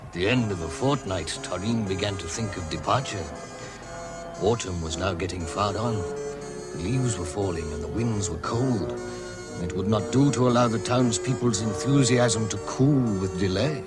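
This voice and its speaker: A man narrates a dramatized story in a measured, storybook way. His voice is fairly low, set against loud music.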